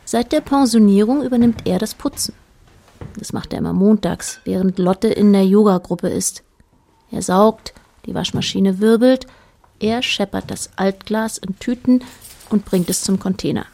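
A woman narrating a literary radio drama in a dry, measured, quietly ironic manner. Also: medium pitch, gravelly.

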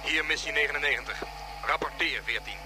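A man's voice: a little high, brisk, over music, close to an everyday voice. A man acting a stern, impatient colonel in a radio drama, curt and commanding.

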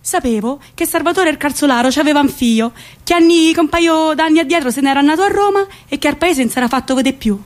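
A woman playing a warm old rural grandmother who recalls her youth in folksy central Italian dialect, in a theatrical monologue. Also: sits high, fast, few pauses, lightly acted. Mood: hushed recollection. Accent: central Italian, Roman area.

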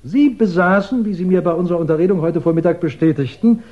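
A man's voice: sits high, dark tone, lo-fi sound, lightly acted. An actor playing a methodical, courteous police investigator who lays out his case insistently in a 1960s German radio crime drama. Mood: methodical, assured. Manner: measured, insistent, explanatory, courteous.

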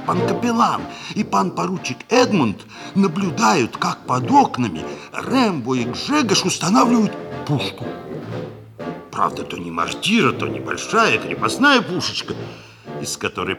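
An actor playing a sly, gossipy neighbour who narrates a 1960s Soviet radio comedy in an arch, confiding way. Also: male voice, on the high side, heavily acted.

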